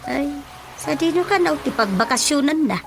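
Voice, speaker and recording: Evasive, high-voiced village councilwoman. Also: lively intonation, moderate pace, vocal fry, background noise. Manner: hesitant, hedging. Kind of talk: radio drama acting in Ilocano. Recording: faint music behind the voice. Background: light background music.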